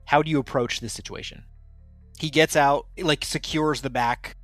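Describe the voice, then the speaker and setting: A man running a tabletop game, his voice sitting a little high. He talks in a loose, playful way, circling back and restarting as he sets scenes. The sound is close and dry. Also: steady tone, moderate pace, fairly bright tone, dry recording, soft background music. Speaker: game master.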